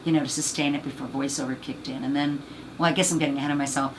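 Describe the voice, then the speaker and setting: Husky, deep-voiced woman. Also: fairly even intonation, racing, background noise. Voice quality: husky low voice. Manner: rambling, many fillers. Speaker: actress and voice actor guest. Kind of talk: casual interview chat.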